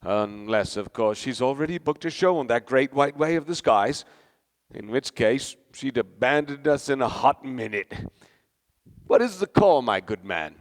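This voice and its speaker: A man's voice: medium pitch, animated, measured pace, close to an everyday voice. A grandiose aging stage star played by a man, pompous and fond of drink, with stately swooping delivery. Radio play style, ringing with long hall reverb. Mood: genteel, tipsy. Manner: theatrical, pompous, stately oratory. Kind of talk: theatrical radio play acting.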